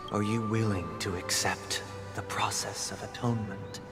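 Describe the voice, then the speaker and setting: An actor playing a devout, brooding young confessor who speaks in a formal, measured, pious manner. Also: male voice, on the low side, level tone, close to an everyday voice. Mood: calm, grave. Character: solemn soft-spoken young priestly man, devout and brooding. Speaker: confessor and family leader. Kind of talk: formal, dramatic game voice acting. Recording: echoey hall, loud music behind.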